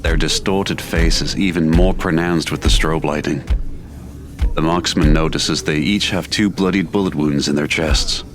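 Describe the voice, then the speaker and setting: A man's voice: extremely low pitch, fairly even intonation, unhurried, a little hiss or hum. A man reading scripted, dramatic story narration for an audio drama. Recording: music playing behind the narration.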